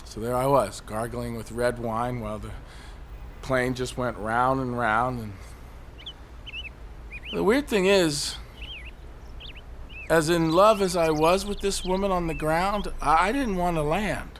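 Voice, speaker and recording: An actor playing a despairing, agitated man perched on a high ledge in an audio drama, rambling on and given to outbursts. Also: a little slow, pauses often. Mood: wistful, rambling. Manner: rambling, wistful, bursting into shouts. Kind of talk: audio drama monologue and dialogue. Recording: faint city traffic noise behind.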